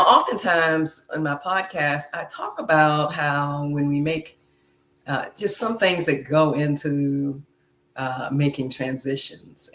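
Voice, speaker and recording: A woman's voice: sits low, slight background noise. A woman hosting her podcast in a casual chat, speaking with frequent fillers and restarts. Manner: slow, warm-hearted, with many fillers. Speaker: podcast host. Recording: echoey room.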